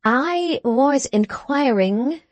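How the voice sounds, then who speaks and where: A woman voicing a pompous, condescending middle-aged Englishman who talks slowly and over-explains, as to a deaf child. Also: high-pitched, animated, darkish tone, no room sound, pitched above the performer's own voice, exaggerated voice.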